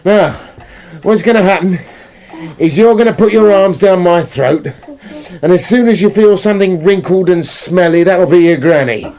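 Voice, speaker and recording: A man's voice: sits high, moderate pace, a little mellow, rough recording. A British man playing a sardonic, bossy wolf in a comic radio play, grumbling and sarcastic. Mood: bossy, instructing. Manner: sarcastic, exasperated, sweary.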